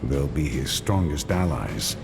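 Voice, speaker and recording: Stern, battle-worn fantasy knight: low-voiced, terse, commanding, expressive pitch movement, game cinematic. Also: male voice, a little slow, gravelly, exaggerated voice. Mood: grim resolve. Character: stern, battle-hardened fantasy knight, single-minded and curt. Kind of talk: dramatic video game acting. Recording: background music.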